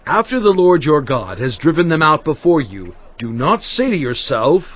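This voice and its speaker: Solemn, animated biblical prophet. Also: male voice, fairly high pitch, moderate pace, breathy edge. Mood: stern, forceful. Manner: solemn, emphatic oratory. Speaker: dramatized audiobook reader. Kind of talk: dramatized scripture reading. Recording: dull audio with faint music bed. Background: faint background music.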